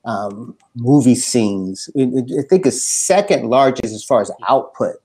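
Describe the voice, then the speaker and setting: A middle-aged man hosts an interview podcast. His voice sits at a middle pitch, and he speaks conversationally into a close mic on a video call. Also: measured pace, dark tone, breathy edge. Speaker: podcast host. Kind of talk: conversational hosting. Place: indoor room, on a video call.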